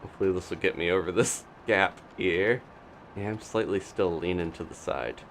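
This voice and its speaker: A man's voice: low voice, normal speed, a bit bright, slight background noise. A man doing casual live commentary as he plays a video game, thinking aloud with playful reactions. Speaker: gaming live streamer.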